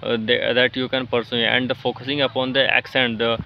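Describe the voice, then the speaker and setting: A man's voice: mid-range voice, little pitch movement, moderate pace, creaky, muffled recording, slight background noise. A man from Jharkhand with an Indian accent, whose first language is Hindi, giving a casual motivational talk on learning English with many fillers and restarts.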